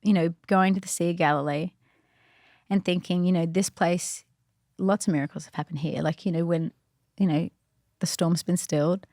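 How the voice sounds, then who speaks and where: A woman's voice: on the low side, fairly even intonation, normal speed, dark tone, dry recording, studio quality. A woman guest on a podcast, telling personal faith stories in an earnest, chatty way, full of "like" and "you know". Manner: earnest and reflective. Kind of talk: casual faith storytelling.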